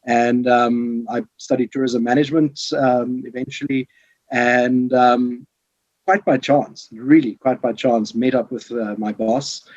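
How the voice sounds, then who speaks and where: A man's voice: medium pitch, level tone, a little slow, a little mellow, no echo. A man with a South African accent chatting as an interview guest, often repeating words and phrases, over slightly muffled call audio. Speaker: podcast guest, safari lodge sales representative. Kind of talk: casual conversational interview. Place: home office in Johannesburg, remote call.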